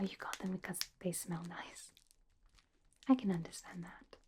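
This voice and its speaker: A woman playing a studious, caring teenage schoolgirl witch in a whispered, breathy ASMR roleplay, speaking gently and helpfully with small hesitant pauses. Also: mid-range voice, slight background noise, close to an everyday voice. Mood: gently advising.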